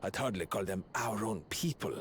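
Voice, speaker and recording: A man's voice: on the low side, normal speed, fairly bright tone, a little hiss or hum, natural voice. A man voicing a sly, smug older royal schemer in a fantasy game, with a dry, mocking, formal delivery. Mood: condescending. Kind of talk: fantasy video game acting.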